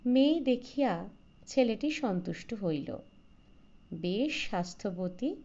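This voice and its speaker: A woman reading a literary story aloud in a measured, expressive storytelling manner. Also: fairly high pitch, muffled recording. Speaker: audiobook narrator. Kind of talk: scripted literary story narration.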